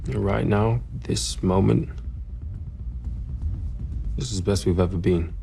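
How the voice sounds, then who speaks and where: Casting: racing team insider, low gravelly voice, dry and earnest, faint music, game cutscene. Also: male voice, dark tone, exaggerated voice. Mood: resolute. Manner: deliberate, dry. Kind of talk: acted documentary-style interview.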